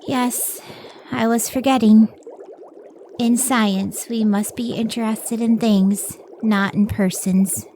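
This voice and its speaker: An actress plays a devoted woman scientist in an old-time radio drama. Her voice sits fairly high and she speaks with earnest, idealistic care. A noticeable hiss and room ambience sit behind her. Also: fairly even intonation, slow pace, warm tone. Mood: weary, devoted.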